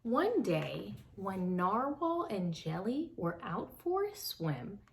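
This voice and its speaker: A slow, lively woman reading to children. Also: mid-range voice, vocal fry, low-quality recording. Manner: warm, slow and expressive, addressing kids. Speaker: storytime reader. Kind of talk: reading a picture book aloud to children.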